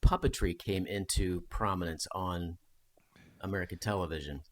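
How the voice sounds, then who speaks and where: Guest, male, curious and chatty, lowish pitch. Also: fairly even intonation, normal speed, a little hiss or hum. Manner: curious, asks questions. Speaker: podcast guest. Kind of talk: casual chat.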